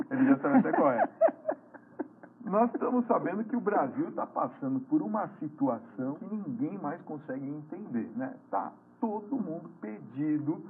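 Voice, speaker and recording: Man, TV host, jovial, chatty, faint background noise, phone-like sound. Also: fairly high pitch. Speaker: TV interview host. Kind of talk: TV interview.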